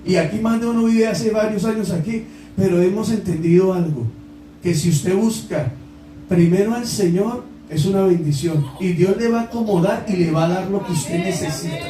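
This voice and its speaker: A man's voice: high-pitched, normal speed, mellow, reverberant, distant mic, low-quality recording. A man with a Colombian accent preaching a sermon to his congregation, impassioned and calling out to the people in front of him. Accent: Colombian Spanish. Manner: impassioned, exclaiming, direct address to the congregation. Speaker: pastor. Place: church hall.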